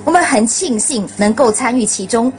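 Higher-pitched woman presenting on radio. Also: animated, moderate pace, a little hiss or hum. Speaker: radio host.

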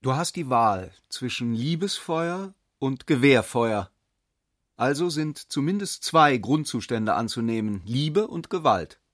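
A man's voice: mid-range voice, animated, unhurried, a bit bright, dry recording. Man pondering aloud in a dry studio. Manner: pondering, questioning delivery. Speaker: reader of an essayistic passage. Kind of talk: literary radio drama reading.

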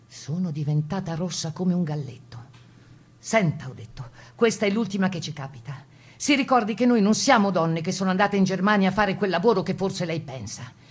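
A woman's voice: lowish voice, a little fast, husky. An actress playing a proud woman partisan, recounting her wartime memories as emphatic theatrical testimony. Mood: proud, indignant. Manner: emphatic storytelling, acting out quoted exchanges. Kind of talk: theatrical first-person testimony monologue.

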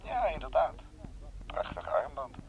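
Casting: courteous, accommodating phone acquaintance for radio play, lively intonation, dull telephone sound. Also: male voice, mid-range voice, unhurried, creaky. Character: polite, smooth-talking male acquaintance on the telephone. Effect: telephone filter. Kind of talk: radio drama acting. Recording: faint, noisy, dull telephone line.

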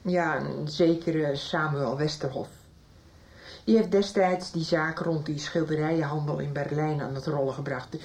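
A fretful middle-aged mother in a nineties radio soap. She speaks low and mellow, with an apologetic, pleading way of explaining herself. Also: steady tone, measured pace, a little hiss or hum. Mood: worried. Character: anxious mother of a runaway son. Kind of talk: 1990s regional radio drama acting.

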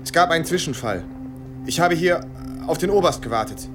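A man's voice: fairly high pitch, lively intonation, fairly quick, close to an everyday voice. Cornered young soldier, music underneath man acting. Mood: guarded. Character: cornered young American soldier John, anxious, pleading, posing as German officer. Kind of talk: radio drama acting and first-person narration. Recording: studio radio play with music underneath.

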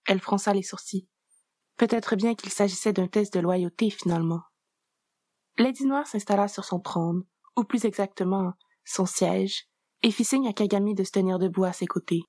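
A woman narrating a fan story in a calm, even storytelling manner. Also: mid-range voice, normal speed, no echo, studio quality. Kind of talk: reading a fan story aloud.

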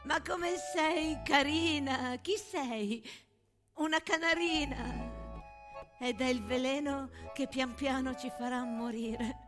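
A woman's voice: high voice, takes it slowly, music in the background. An actress playing a spirited, defiant female munitions worker in wartime, in an emphatic, sing-song theatrical monologue. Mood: bright, ironic.

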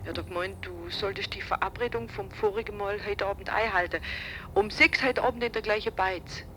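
A woman's voice: medium pitch, fairly quick, a bit bright, slight background noise, natural voice, rough recording. An actress playing a chatty, probing wife in a radio drama, hesitant and repeating herself as she talks on the telephone. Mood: nervous, insistent. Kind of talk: radio drama acting, phone dialogue.

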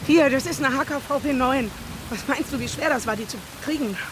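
A woman's voice: sits high, a little fast, background noise, natural voice. An actress playing a brash, agitated young woman in a naturalistic radio drama, speaking in blunt, clipped slang. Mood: urgent, pleading.

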